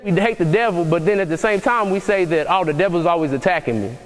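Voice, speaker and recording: A young man with a strikingly high voice delivers a motivational talk in the manner of a preacher. He speaks earnestly, circling back over his phrases and pressing his points harder as he goes. The sound rings with the long reverb of a large church hall. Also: fast. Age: young adult. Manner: earnest, repetitive, many restarts, rising to preachy emphasis.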